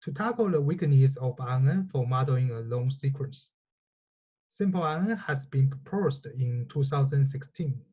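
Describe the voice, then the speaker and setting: A man's voice: mid-range voice, lively intonation, normal speed, dark tone, slightly rough, rough recording. A man who is a native Chinese speaker narrates a scripted technical lecture in a methodical, formal manner, occasionally stumbling over words. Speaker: researcher presenting a technical lecture.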